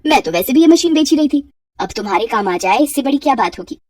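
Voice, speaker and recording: Woman voicing a chatty, kindly old neighbour auntie, high-pitched. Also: expressive, quick pace, a little mellow. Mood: kind, concerned. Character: kindly, talkative elderly neighbour woman, helpful and motherly. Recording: roomy echo, distant from mic.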